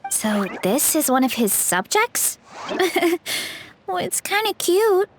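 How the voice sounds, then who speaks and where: A woman voicing a friendly, naive young female warrior in a video game, gentle and a little hesitant. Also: extremely high pitch, big pitch swings, slow pace, slight background noise, exaggerated voice. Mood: curious.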